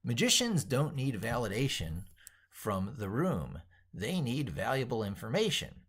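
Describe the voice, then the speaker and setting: A man's voice: medium pitch, sing-song, a little slow, a bit bright, husky. A middle-aged man reading his own essay aloud as he works on it, in a theatrical, wordy, emphatic way. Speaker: tech educator and video host.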